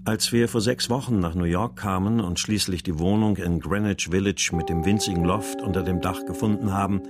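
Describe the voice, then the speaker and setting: An actor playing a troubled, weary writer in a radio drama, speaking in a sober, measured, introspective way. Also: male voice, sits low, fairly even intonation, over music, natural voice. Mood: brooding, weary. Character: troubled male writer recounting his daughter's disappearance, brooding, weary. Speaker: narrator and lead character. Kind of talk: radio drama, introspective first-person narration and dialogue.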